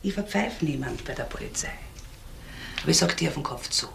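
A woman's voice: low voice, animated, brisk, creaky, fairly bright tone, slight background noise, heavily acted. A woman playing a tough, brusque, fearless woman in a crime radio play. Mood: defiant. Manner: brusque, confrontational.

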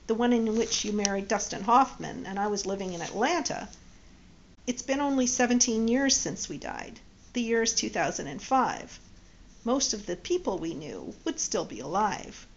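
A woman's voice: on the high side, animated, moderate pace, a little hiss or hum. A woman voicing an earnest middle-aged man in a read-aloud story, on dull lo-fi audio. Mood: thoughtful.

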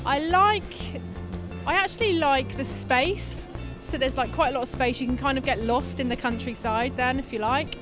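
A woman's voice: high voice, moderate pace. A woman answering a street interview enthusiastically into a handheld mic on a busy street. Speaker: interviewed tourist. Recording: music bed under voice.

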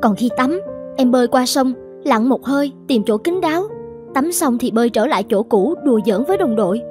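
Earnest high-voiced soldier girl. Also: animated, brisk. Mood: earnest confession. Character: earnest, enduring young woman soldier confessing hardship. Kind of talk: cartoon dubbing. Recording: music behind the voice.

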